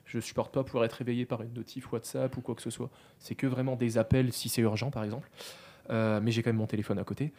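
Man, podcast co-host, quick chatty delivery, mid voice, rambling fillers. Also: mid-range voice, little pitch movement, warm tone. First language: French. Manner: talkative, self-deprecating, many fillers. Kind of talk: casual podcast chat.

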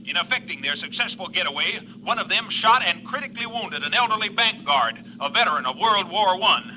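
A very sharp, forceful male newsreader reads in a formal, clipped 1940s style. His voice sits high for a man. The old radio recording carries some noise and hum. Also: animated, a little fast, exaggerated voice, low-quality recording. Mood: formal, urgent. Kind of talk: 1940s radio news bulletin acting.

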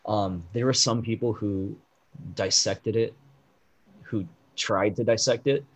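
A man co-hosting a casual pop-culture podcast, explaining things at length with lots of "like" and "um" fillers, and getting loud and animated when something riles him. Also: lowish voice, slow. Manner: rambling, heavy on "like" and "um" fillers. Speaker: podcast co-host.

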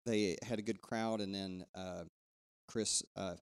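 A pastor with a bassy, clear and resonant voice. He talks his congregation through the week's news in a relaxed, rambling way, with plenty of fillers and restarts. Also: measured pace. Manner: loose and conversational, many fillers and restarts. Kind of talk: church announcements before a sermon.

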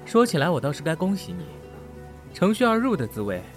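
Jealous, smooth-mannered young man, highish and very animated, insinuating, audio drama over music. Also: fairly quick, heavily acted. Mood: mocking, bitter. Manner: mocking, insinuating, needling. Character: jealous, sardonic young male rival, smooth-mannered but bitter.

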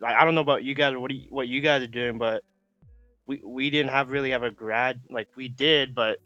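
A man's voice: medium pitch, steady tone, a little fast, pushed and bright, no room sound, lo-fi sound. A man in his late teens from Canada, chatting as a podcast guest in rambling slang full of "like" and "bro". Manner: laid-back, rambling slang with many fillers. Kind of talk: casual chat.

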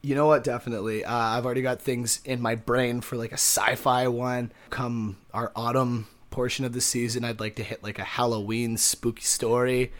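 A young man co-hosting a casual podcast, excitable and laughing often. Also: mid-range voice, normal speed, few pauses. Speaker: podcast co-host.

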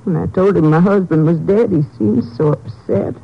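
An actress playing an ashamed, destitute old widow in a radio crime drama, halting and despairing in plain folksy speech. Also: female voice, lowish voice, breathy edge. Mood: subdued recounting. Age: older. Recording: muffled vintage radio drama recording.

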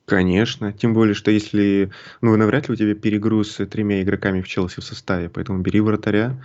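A man co-hosting a casual football podcast, relaxed and easy to laugh. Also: lowish voice, fast. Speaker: podcast co-host. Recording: dull lo-fi sound.

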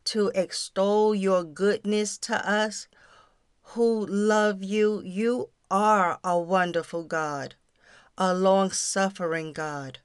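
Slow, earnest woman preaching. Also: fairly low pitch, clear, resonant tone, no room sound, studio quality. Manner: earnest, exhortatory, addresses listeners as friends. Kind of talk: devotional talk and prayer.

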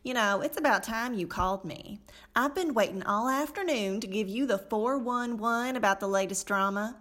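A woman's voice: on the high side, normal speed, a bit bright, lightly acted. A woman playing a gossipy, self-righteous and easily exasperated church lady in a comedic radio play, with a folksy, indignant, dramatic delivery. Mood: gossipy, eager.

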